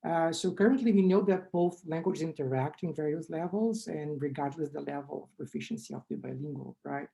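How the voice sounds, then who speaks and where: Hoarse man with a Brazilian accent lecturing. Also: fairly high pitch, brisk, few pauses, mellow. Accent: Brazilian Portuguese. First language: Brazilian Portuguese. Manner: restarts and self-corrections, frequent "right?". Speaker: conference speaker. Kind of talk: academic lecture over slides. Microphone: webcam on a video call.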